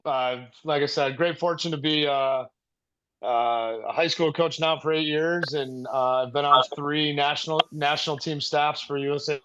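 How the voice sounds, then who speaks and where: A man's voice: fairly high pitch, steady tone, moderate pace, pushed and bright, clear, resonant tone. An American man in his forties, chatting casually on a podcast in an earnest, hesitant way, as a coach and former player. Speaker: high school baseball coach, former player. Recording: slightly dull, lo-fi call audio.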